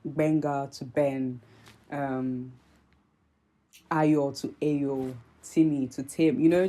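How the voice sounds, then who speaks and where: Woman, twenties, Nigerian-British accent, earnest candid vlog talk, low voice, lots of ums. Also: dark tone. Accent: mixed Nigerian and British. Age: 20s. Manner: earnest, candid, many fillers and restarts. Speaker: YouTuber. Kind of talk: opinion vlog to camera.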